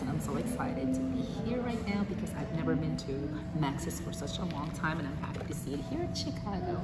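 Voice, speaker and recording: A middle-aged woman with a Filipino accent chats enthusiastically to the camera in a casual food vlog. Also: deep voice. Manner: enthusiastic, chatty. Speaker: vlogger. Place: busy restaurant dining room. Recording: loud music over the voice. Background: loud music.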